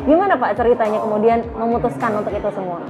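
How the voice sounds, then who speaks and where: A woman hosting a casual interview, chatty and upbeat and quick to laugh, recorded in a busy restaurant. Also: fairly high pitch. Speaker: talk show host. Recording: loud music and crowd chatter. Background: music and diners chattering.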